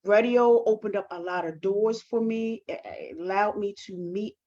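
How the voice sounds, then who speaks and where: A woman giving reflective interview answers as a guest, speaking earnestly and haltingly with repeated words. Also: mid-range voice, warm tone, highs cut off. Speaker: talk show guest, performing artist.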